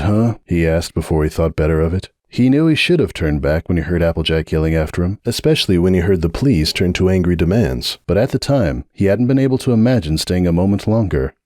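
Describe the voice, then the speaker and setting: Expressive male audiobook narrator. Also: low-pitched, no echo, clean studio sound. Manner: expressive storytelling.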